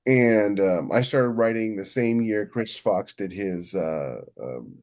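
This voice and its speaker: Middle-aged male podcast co-host, lower-pitched voice, candid and rambling, conversational delivery. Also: expressive, slow, darkish tone. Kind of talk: casual podcast chat.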